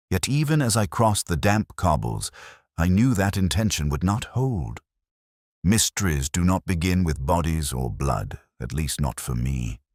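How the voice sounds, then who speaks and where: A man playing an older, melancholy police inspector, narrating his case in the first person in measured, literary, contemplative phrases. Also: deep voice, darkish tone, breathy edge, dry recording, studio quality, heavily acted. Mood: reflective, melancholy. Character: older male Oxford police inspector, brooding, reflective, melancholy.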